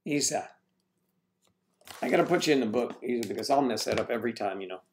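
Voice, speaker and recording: A man's voice: medium pitch, lively intonation, fast talker. A man hosting a casual livestream from home, rambling as he greets chat viewers and stumbles over their names.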